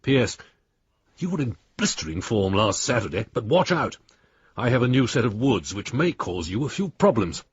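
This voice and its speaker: A man's voice: mid-range voice, very animated, normal speed, husky, no room sound, highs cut off. An actor playing a crusty older lawyer in a radio drama, reading out his letter in a blunt, dry, precise manner. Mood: teasing. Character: crusty, exasperated older lawyer, loyal old friend.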